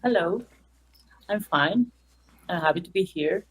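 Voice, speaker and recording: A woman with a Mexican accent, a native Spanish speaker, speaking English as a documentary filmmaker and teacher in an interview. She talks in an earnest, halting way with many fillers. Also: lowish voice, expressive, fairly bright tone, rough recording. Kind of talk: remote interview.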